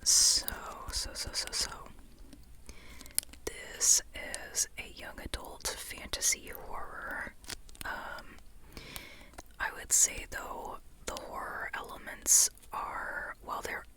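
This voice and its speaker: An ASMR host whispering in an informal, rambling way, softly and unhurriedly, often repeating phrases. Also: slow, poor audio. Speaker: ASMR host reviewing a book.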